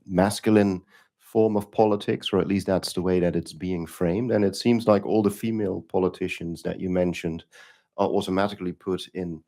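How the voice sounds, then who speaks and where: A man's voice: deep voice, fairly even intonation, moderate pace, mellow. A man moderating an academic conference panel, asking questions with frequent hesitant fillers and restarts.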